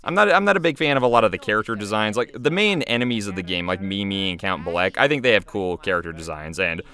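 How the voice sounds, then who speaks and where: A man's voice: on the low side, animated, fast, bright, forceful tone. A young man giving casual, rambling commentary as an animator and voice actor, chatty and easily amused.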